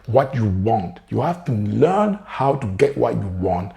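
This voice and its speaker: A man's voice: mid-range voice, very animated, unhurried, creaky, warm tone, faint music behind. A man with a French accent whose first language is French, talking earnestly to camera as a career coach and often adding "right?". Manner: earnest, keeps asking "right?". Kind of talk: direct-to-camera advice talk.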